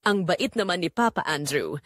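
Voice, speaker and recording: A woman's voice: lowish voice, expressive, moderate pace, no echo, studio quality. A woman voicing an affectionate, innocent little girl in an audio drama. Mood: fond.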